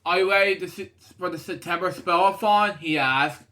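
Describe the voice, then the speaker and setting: A man with a notably high voice and a bright, forward tone reads a children's storybook aloud. His delivery is casual and unpolished, and he drifts from the text into rambling side remarks. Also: normal speed. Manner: rambling, digressive, often breaking off to comment. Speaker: storybook reader and show host. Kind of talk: casual read-aloud of a children's picture book with rambling asides.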